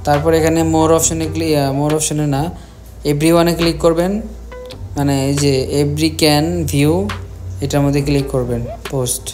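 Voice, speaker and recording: A man with a Bangladeshi accent giving step-by-step software instructions as a tutorial host, repeating his phrases as he goes. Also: a little high, little pitch movement, moderate pace. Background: music.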